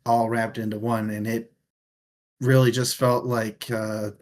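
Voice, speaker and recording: Irreverent man co-hosting, mid-pitched voice. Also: level tone, unhurried, a little mellow, a little hiss or hum. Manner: irreverent, casually profane. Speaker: podcast co-host. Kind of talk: casual book discussion.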